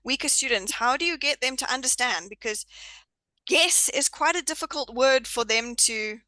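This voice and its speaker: A woman from Zimbabwe leads a group discussion as its host. Her voice is bright and forceful and sits a little high. The sound is dry and close. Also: normal speed, no room sound. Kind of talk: moderating a group chat.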